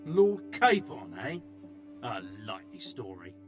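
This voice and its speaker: Sceptical guardsman, very expressive. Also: fairly low pitch, unhurried, faint music behind. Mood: suspicious. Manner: sceptical, interrogating. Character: suspicious male guard, gruff but easily won over. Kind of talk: video game cutscene acting.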